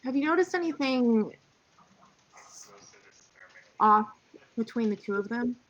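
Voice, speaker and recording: A woman's voice: on the high side, lively intonation, slow pace, fairly bright tone. A woman talking casually in character as a player in an online tabletop game. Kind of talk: casual in-character roleplay chat. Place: online voice call. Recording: dull online voice-call audio.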